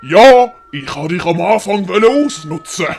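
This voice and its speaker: A woman with a Swiss German accent voicing a scheming, wheedling older great-uncle in an audio story, gravelly and oily. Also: lowish voice, expressive, normal speed, darkish tone, faint music behind. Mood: persuasive.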